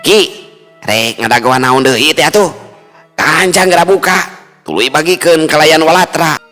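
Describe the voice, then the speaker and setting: A man's voice: a little high, measured pace, sharp tone, voice pushed up. A man voicing an impatient, resentful male underling in a folk story, grumbling and pushy as he complains. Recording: long hall reverb, music underneath.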